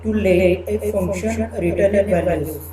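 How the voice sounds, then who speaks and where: A man giving a programming lecture, explaining step by step with halting phrasing and repeated fillers. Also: high voice, crowd noise. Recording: echoey room with background noise.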